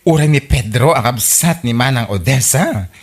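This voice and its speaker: A man with an Ilocano accent playing a nosy, teasing, excitable village busybody in a radio comedy drama. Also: medium pitch, moderate pace, breathy edge.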